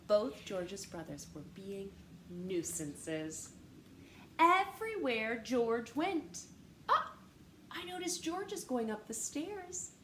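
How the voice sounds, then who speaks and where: A woman reading a story aloud to children in an animated, teacher-like way, stressing words and posing questions. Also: high voice. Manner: expressive teacher-like delivery, dramatic gasps and emphasis. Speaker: storytime reader. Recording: roomy echo with faint background noise.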